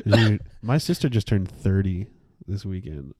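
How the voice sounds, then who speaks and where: A young man in his twenties leads a casual Bible podcast, his voice slightly low and recorded dry and close. He talks loosely and slangily, working through the facts with pauses and fillers. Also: no echo. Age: 20s. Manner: relaxed, slangy, says "dude" a lot, explains with pauses. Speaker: podcast co-host.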